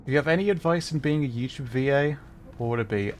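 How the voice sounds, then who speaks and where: A man's voice: mid-range voice, quick pace, a little mellow. Rambling young man streaming a game. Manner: rambling, self-correcting, laughs often. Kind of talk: casual game stream chat.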